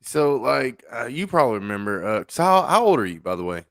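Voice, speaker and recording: A man's voice: mid-range voice, very animated, a little fast, fairly bright tone, no room sound, clean studio sound. A man of thirty hosting a livestream, talking in a laid-back way with lots of fillers. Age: 30s. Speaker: host. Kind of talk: casual livestream chat.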